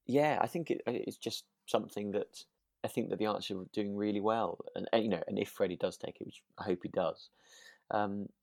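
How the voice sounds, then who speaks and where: Low-voiced man chatting, close dry sound. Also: a little fast, creaky, darkish tone, no echo. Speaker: podcast co-host. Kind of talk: casual chat about a radio drama.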